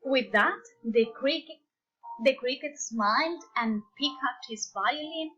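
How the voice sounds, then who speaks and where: A woman reads a children's picture book aloud. Her voice is high, and she takes her time, telling the story with deliberate expression. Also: fairly bright tone, husky, highs cut off. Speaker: storybook reader.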